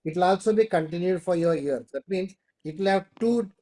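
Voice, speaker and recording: A man's voice: high-pitched, fairly quick, mellow. A man with an Indian accent hosting an online class, explaining and answering questions, often repeating himself. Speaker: host of an online training class. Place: online video call.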